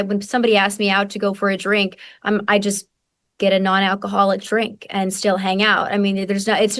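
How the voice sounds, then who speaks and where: A woman's voice: mid-range voice, fairly bright tone. A young woman journalist answering as a guest, earnest and full of restarts and repeated words. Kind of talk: conversational interview answer.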